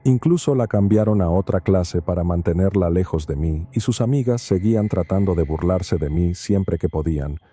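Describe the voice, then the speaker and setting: A man reading a first-person true horror story in a steady, measured narration. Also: low-pitched, no room sound, studio quality.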